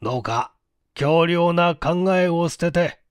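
A woman voicing a composed, courteous male detective chief in an audiobook, explaining in a deliberate, emphatic and formal way. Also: on the low side, dry recording, clean studio sound. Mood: earnest, encouraging. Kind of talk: storybook dialogue in audiobook reading.

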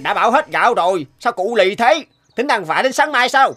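An actor playing a big, gruff, scornful male servant in a cartoon. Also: high-pitched, animated, fairly quick. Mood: scolding. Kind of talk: cartoon dubbing. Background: music.

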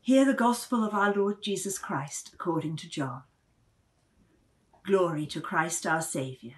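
A woman's voice: on the low side, measured pace. A middle-aged woman reading scripture aloud as a formal gospel reader. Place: small stone-walled room at home.

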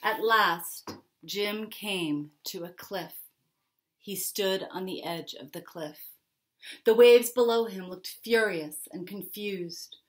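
A middle-aged woman reads a children's story aloud at an unhurried pace. Her delivery is expressive and dramatic, with deliberate pauses and emphasis on key words. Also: medium pitch. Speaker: picture-book author reading aloud. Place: small furnished room at home, indoors.

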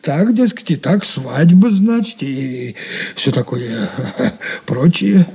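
An actor playing a humble, folksy shop servant who rambles deferentially in a radio drama. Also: male voice, a little high, sing-song, slow, warm tone, breathy edge, full character voice. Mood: chatty, folksy. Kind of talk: 1980s Soviet radio drama acting.

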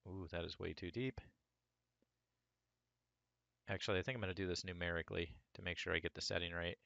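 Low, gravelly man getting over a cold. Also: a little fast, no echo, lo-fi sound. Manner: relaxed, talks through each step as he works. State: hoarse from a cold, voice cracking. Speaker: 3D sculpting instructor. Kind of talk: screen-recorded software tutorial.

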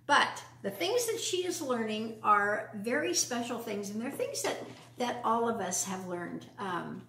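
Older american lady doing kids storytime, really up and down pitch, echoey living room. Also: fairly bright tone, slight background noise. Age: 60s or older. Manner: simple, deliberate phrasing for young children. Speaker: storytime host reading children's books. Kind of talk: children's storytime talk and read-aloud. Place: living room at home, indoors. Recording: roomy echo.

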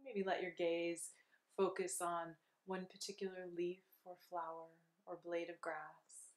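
A woman guides a meditation with a somewhat low voice and very level intonation. Her delivery is soothing and unhurried, offering gentle instructions to the listener. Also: little pitch movement.

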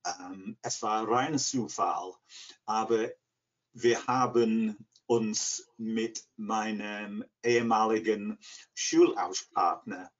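An English man in his sixties with an English accent, answering interview questions haltingly as he searches for words in a second language. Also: fairly low pitch, steady tone. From England, near Liverpool. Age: 60s. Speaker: interview guest, language learner. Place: living room at home. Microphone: computer mic on video call. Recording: dull, lo-fi video call.

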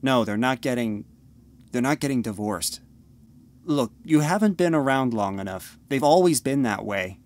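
A man's voice: medium pitch, animated, normal speed, a little mellow, lightly acted. A man playing a dismissive, irritable teenage older brother in an audio drama, with a youthful, curt delivery. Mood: defensive. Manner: curt, impatient.

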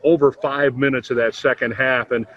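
Male team broadcaster, smooth and stat-heavy, slightly muffled audio. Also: mid-range voice, flat delivery, moderate pace. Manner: smooth, fact-filled broadcaster delivery. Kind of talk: postgame interview questions.

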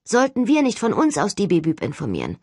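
Woman doing an earnest teen girl agent, voice lifted higher, kinda insistent, audiobook. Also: huge pitch swings, fairly quick, dark tone, husky, no echo, clean studio sound. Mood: tentative.

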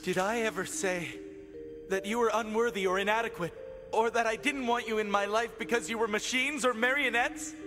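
A man's voice: high voice, steady tone, moderate pace, big-room sound, natural voice. A man playing an earnest, hot-blooded young hero in an English anime dub, speaking with emphatic feeling. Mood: impassioned. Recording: music behind the voice.

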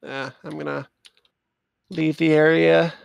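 A man's voice: a little high, little pitch movement, takes it slowly, vocal fry, dull audio, a little hiss or hum. A man playing a video game with friends, muttering to himself with swearing and sudden outbursts. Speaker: game player. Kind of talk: casual gaming chat.

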